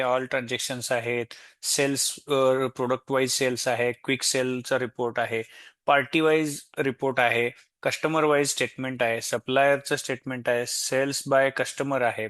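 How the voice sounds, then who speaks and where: A man with an Indian Marathi accent giving an informal, step-by-step software tutorial, explaining as he goes and mixing in English tech terms. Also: mid-range voice, no echo. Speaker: software demo presenter.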